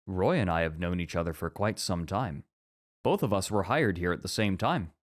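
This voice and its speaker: Low-voiced, thoughtful male academic played by an actor, composed and formal, for ASMR roleplay. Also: animated, normal speed. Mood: calm, fond. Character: composed, introspective, scholarly male college teacher.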